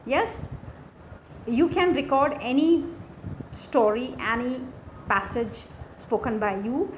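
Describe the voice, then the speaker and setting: Female lecturer, Indian accent, fairly high voice, very unhurried lesson narration in short phrases. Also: rough recording. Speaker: English lecturer. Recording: faint background noise.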